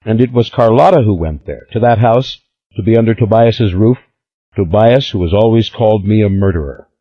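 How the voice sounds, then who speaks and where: A man's voice: on the low side, moderate pace, voice pushed up, close to an everyday voice. A man voicing an ill old patriarch, proud and commanding, in an audiobook. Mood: imperious. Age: older. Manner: imperious, commanding. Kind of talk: audiobook character voice. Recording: slightly dull lo-fi recording.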